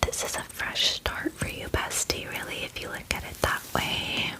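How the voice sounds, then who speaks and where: A woman's voice: normal speed, lightly acted. A woman voicing a friendly, doting female dragon in a whispered ASMR fantasy roleplay, with gushing, hesitant, filler-heavy chatter. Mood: tender, soothing. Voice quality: breathy whisper.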